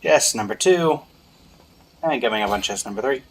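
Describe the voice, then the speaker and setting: A man's voice: mid-range voice, expressive, moderate pace, husky. A man giving casual gameplay commentary, muttering to himself with playful, mock-formal phrasing. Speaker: game streamer. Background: game music.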